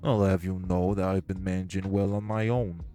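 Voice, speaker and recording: Man as crude gangster boss, very deep, combative, soft music bed. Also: flat delivery, brisk, close to an everyday voice. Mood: taunting. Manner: blunt, vulgar, combative. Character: crude hot-tempered male gangster boss. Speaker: club-owning gangster. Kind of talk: audio roleplay acting.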